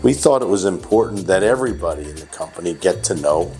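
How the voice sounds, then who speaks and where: A man with a very low, deep voice hosts a relaxed workplace interview. He is chatty and teasing and often restarts his sentences. Faint music plays in the background. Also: fast. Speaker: company CEO hosting interview. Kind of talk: casual interview chat.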